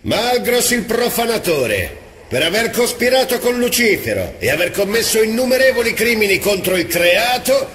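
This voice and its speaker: An actor playing a bold, solemn armored gunslinger in a video game dub, speaking in a declamatory manner. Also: male voice, sits high, sing-song, a little fast, fairly bright tone, slight background noise. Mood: defiant.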